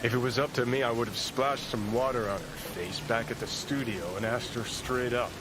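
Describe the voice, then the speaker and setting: A man's voice: mid-range voice, steady tone, a little fast, lightly acted. An actor voicing a cynical, sarcastic, foul-mouthed man in a video game, with noise behind the voice. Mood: irritated, scornful. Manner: brash, sardonic.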